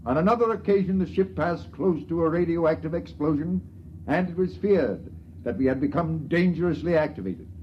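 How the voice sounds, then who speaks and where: A man's voice: a little high, normal speed, slight background noise, lightly acted. An actor playing a solemn, dogmatic priest making a proclamation to his people in a 1950s radio drama. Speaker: character in a 1950s radio play. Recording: muffled vintage radio broadcast.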